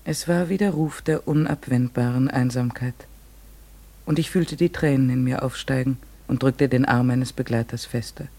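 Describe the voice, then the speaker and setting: A man's voice: mid-range voice, normal speed, gravelly, a little mellow. Earnest, troubled male chronicler. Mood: wistful narration. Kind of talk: literary radio play narration.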